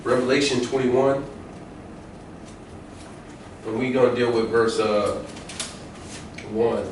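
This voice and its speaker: A man's voice: mid-range voice, fairly even intonation, slow. An American man preaching an informal sermon, reading scripture and commenting on it in an emphatic, colloquial way with repeated phrases. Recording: very echoey room, distant mic, faint noise.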